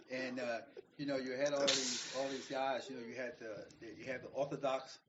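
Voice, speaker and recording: A man's voice: mid-range voice, flat delivery, normal speed, heavy background noise. A man on a talk radio panel, casually telling anecdotes and explaining his views in a conversational, somewhat preachy way. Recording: dull lo-fi radio recording.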